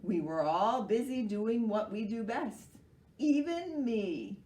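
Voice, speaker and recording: Woman as a slow, drowsy storybook sloth. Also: on the high side, lively intonation, mellow. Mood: lazy, calm. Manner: slow, drawling, unhurried. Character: lazy, drowsy male sloth, calmly unbothered. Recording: roomy echo, far from the mic.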